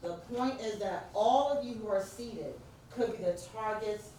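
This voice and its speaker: A woman with a New York accent and a deep voice, presenting an interactive workshop and prompting her audience directly. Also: a little slow, warm tone. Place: church meeting room. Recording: very echoey room, distant mic, faint noise.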